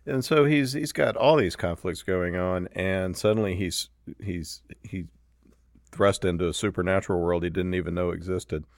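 A man's voice: low voice, moderate pace, no room sound, clean studio sound. A man hosting a panel conversation, asking questions in a casual, hesitant way. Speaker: interviewer.

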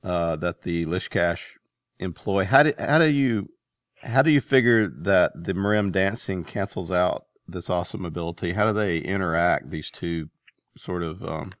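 Male interviewer, fairly low pitch, informal and chatty, loosely phrased, dry recording. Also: normal speed, dry recording. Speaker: podcast host, interviewer. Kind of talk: casual interview chat.

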